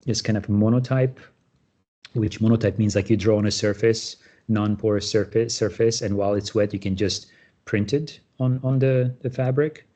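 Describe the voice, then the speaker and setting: A middle-aged man speaks as a guest artist at a panel. His voice is fairly low and mellow, and he talks in a halting way, restarting phrases and filling pauses with "uh" and "um". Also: steady tone. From Syria. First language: Armenian. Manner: halting, many fillers and restarts. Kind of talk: panel talk about his artwork.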